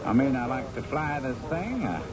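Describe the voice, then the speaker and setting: Animated wisecracking pilot played by a man. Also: mid-range voice, normal speed, close to an everyday voice. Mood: amused. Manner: folksy, joking, drawling asides. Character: wisecracking, folksy male helicopter pilot, skeptical and easygoing. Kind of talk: radio drama acting. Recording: very noisy, muffled old radio recording.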